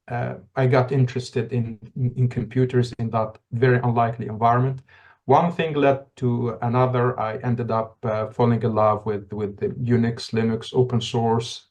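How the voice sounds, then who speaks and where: A middle-aged man from Tunisia, a podcast guest telling his story in a reflective, halting way, with many restarts. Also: mid-range voice, a little mellow, muffled recording. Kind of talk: casual interview talk.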